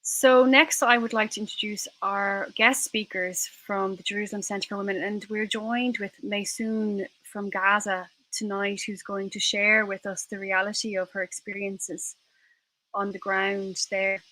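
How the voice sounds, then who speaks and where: A woman's voice: mid-range voice, level tone, measured pace, pushed and bright, poor audio. An Irish woman hosting a livestream event and introducing guests. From Ireland. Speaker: event host.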